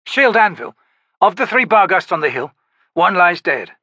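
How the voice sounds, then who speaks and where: A dutiful soldier officer, as a male narrator puts him on gives his report in a raised, brisk, respectful voice. The delivery is orderly and clear, with a dry, close sound. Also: sits high, very animated, sharp tone, no echo, highs cut off, voice pushed up, exaggerated voice.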